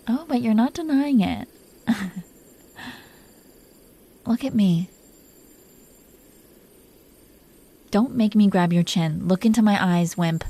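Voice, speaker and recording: A woman performs a proud dragon queen who is possessive and secretly tender with her lover. Her voice is dark, soft-edged and husky. She teases in a breathy, haughty, lingering way, made for close, intimate roleplay audio. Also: medium pitch, takes it slowly, pauses often. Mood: teasing, commanding. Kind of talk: intimate roleplay audio drama, often whispered.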